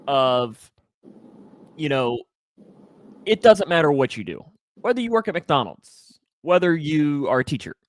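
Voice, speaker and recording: A man in his twenties hosting a casual sports talk show, speaking emphatically and repeating phrases to drive them home. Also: on the high side. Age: 20s. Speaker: sports show host and broadcaster.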